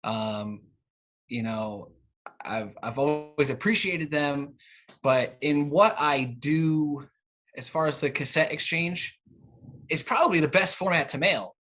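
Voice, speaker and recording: A man's voice: a little high, takes it slowly, pushed and bright. A man in his thirties being interviewed in a casual, conversational way, with hesitant, rambling phrasing. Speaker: interview guest, cassette exchange organiser.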